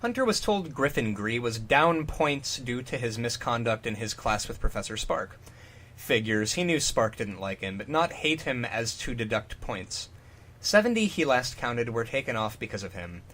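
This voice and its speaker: A man's voice: mid-range voice, big pitch swings, normal speed, a bit bright. A man co-hosting a comic fan fiction reading, breaking off into amused, mocking commentary. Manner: amused, mocking riffs on the text. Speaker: podcast co-host.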